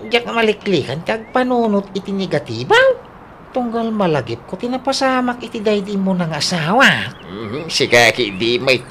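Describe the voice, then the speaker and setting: Fretful grieving woman for Ilocano radio drama played by a woman, Ilocano accent, sharp and animated, exclamatory. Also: mid-range voice, moderate pace, natural voice. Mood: anxious, fretful. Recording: faint background noise.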